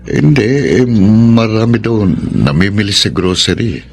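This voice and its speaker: An actor with a Filipino accent playing a lonely, faithful husband working abroad, subdued and reserved, in radio drama style. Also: male voice, fairly low pitch, lively intonation, measured pace, heavily acted. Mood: dejected. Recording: clearly noisy background.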